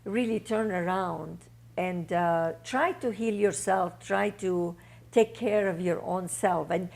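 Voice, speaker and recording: Gravelly-voiced female talk show host. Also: lowish voice, slow pace, a little mellow. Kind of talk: interview host.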